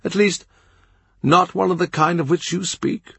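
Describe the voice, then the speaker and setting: A man's voice: high voice, measured pace, creaky, a bit bright, slightly rough, voice pushed up, heavily acted. A man voicing a frail, elderly male bishop who speaks mildly and sadly in his own defence. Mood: mild, earnest. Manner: mild, pleading, sad. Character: frail old male bishop, mild and stubborn. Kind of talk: audiobook character reading.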